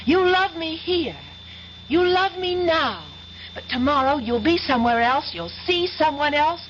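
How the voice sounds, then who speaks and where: A woman's voice: high voice, animated, unhurried, fairly bright tone, slight background noise. An actress playing a proud, wounded young colonial woman in a 1970s radio drama, theatrical in manner. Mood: bitter, wounded. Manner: mocking, theatrical. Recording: muffled radio drama recording.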